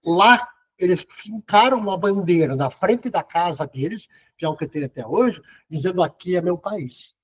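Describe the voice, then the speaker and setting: A middle-aged man lectures in a loose, chatty way, peppering his points with casual tags. His voice is husky and sits a bit high. The video call audio sounds dull and lo-fi. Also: lively intonation, normal speed, hardly any pauses. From southern Brazil. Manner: conversational, sprinkles "cara" and "né". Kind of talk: casual lecture over web conference.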